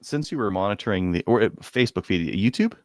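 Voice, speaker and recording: A man's voice: fairly low pitch, lively intonation, quick pace, no room sound. A man hosting a podcast in a casual, offhand way with dry joking. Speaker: podcast host. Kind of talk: casual banter and read-out segments.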